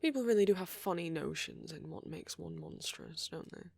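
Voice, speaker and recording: A man acting a friendly, flirty, apologetic vampire in a soft ASMR roleplay, gentle and hesitant, with a husky voice that often drops toward a whisper. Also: a little high, animated, moderate pace, no echo, exaggerated voice. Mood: mildly indignant. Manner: gentle, hesitant, teasing, with soft chuckles and endearments.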